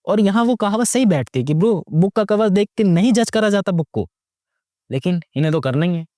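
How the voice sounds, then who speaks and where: A young man delivers mocking, slangy movie commentary. His voice sits a little high and swings widely in pitch as he fires off rhetorical questions. The sound is dry, with very little room around it. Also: animated, a little fast, warm tone, dry recording. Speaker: YouTube movie reviewer. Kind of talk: casual, sarcastic movie commentary.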